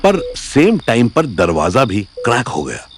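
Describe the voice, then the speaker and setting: A man's voice: mid-range voice, huge pitch swings, measured pace. A man narrating a scripted audio story in a dramatic storytelling style. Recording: music under the narration.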